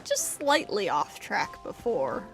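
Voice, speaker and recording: Female game streamer, mid pitch, casual commentary, prominent background music. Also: very animated, takes it slowly, vocal fry, poor audio.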